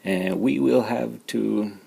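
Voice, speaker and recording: A man's voice: fairly low pitch, slow pace, gravelly, warm tone, breathy edge. A man with a Swedish accent narrating a drawing tutorial, talking through each step plainly with some fillers and self-deprecating remarks. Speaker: art tutor.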